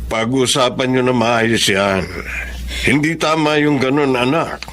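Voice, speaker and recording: An actor with a Filipino accent playing a concerned older father who gently admonishes, in an audio drama. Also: medium pitch, fairly even intonation, unhurried, a little hiss or hum, close to an everyday voice. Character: concerned, fatherly older man advising his grown daughter. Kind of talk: Filipino radio-style drama acting.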